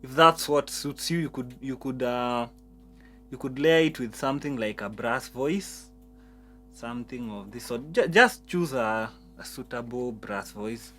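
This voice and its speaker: A man's voice: medium pitch, a bit bright. A man walks through keyboard settings in a teaching tone, often stumbling and repeating his words. A faint keyboard plays underneath his voice. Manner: halting, repeats words, explains step by step. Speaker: piano instructor. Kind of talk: keyboard tutorial. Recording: keyboard music behind voice.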